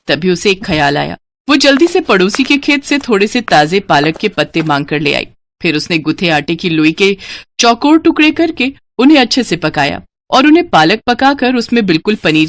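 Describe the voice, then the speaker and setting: A woman's voice: fairly low pitch, very animated, moderate pace. A woman storyteller reading scripted cartoon story narration, with faint noise behind her.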